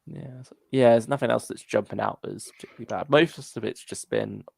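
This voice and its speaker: Gravelly, creaky-voiced man in casual discussion. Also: mid-range voice, a little fast, darkish tone, no echo, clean studio sound. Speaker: co-host of a fan discussion show. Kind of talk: casual chat, reading out viewer comments.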